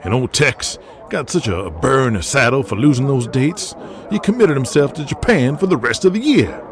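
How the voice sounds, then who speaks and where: A man voicing a persuasive, excitable wrestling booker with a drawl in an audio drama. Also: medium pitch, normal speed, a crowd in the background, voice pushed up. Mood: urgent, persuading. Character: folksy Southern male wrestling booker, excitable, persuasive schemer. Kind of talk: audio drama character acting.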